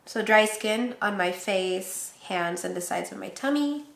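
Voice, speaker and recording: A woman's voice: mid-range voice, animated, measured pace, gravelly, a bit bright, a little hiss or hum. A woman with a Filipino accent chatting casually with her viewers, laughing often and teasing herself. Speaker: pregnancy vlogger. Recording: roomy echo.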